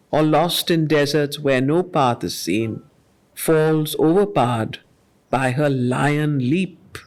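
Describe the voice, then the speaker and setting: A woman leads a study circle, reciting poetry at a very unhurried pace. She repeats key lines with weight before unpacking their spiritual meaning. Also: low-pitched, poor audio. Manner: slow, measured recitation, repeating lines for emphasis. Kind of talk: reading poetry aloud with spiritual commentary. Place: meeting room of a study group.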